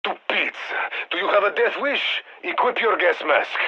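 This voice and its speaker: Gravelly Russian soldier, on radio. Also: male voice, high-pitched, expressive, moderate pace, sharp tone, lo-fi sound, full character voice. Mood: stern, commanding. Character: stern, dry Russian soldier commanding a strike team. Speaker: mission handler. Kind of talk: scripted video game military voice lines.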